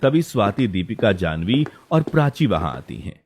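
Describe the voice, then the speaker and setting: A man's voice: on the low side, very animated, moderate pace, a little mellow. A man narrating a scripted story as its storyteller. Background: faint music.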